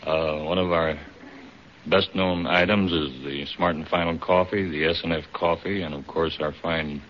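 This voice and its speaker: A man's voice: deep voice, fairly even intonation, normal speed. A polite young man from Louisiana answering a host's questions as a quiz contestant on an old radio recording. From Louisiana, USA. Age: young adult. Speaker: quiz show contestant, salesman. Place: studio stage before an audience. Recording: muffled, slightly noisy old radio recording.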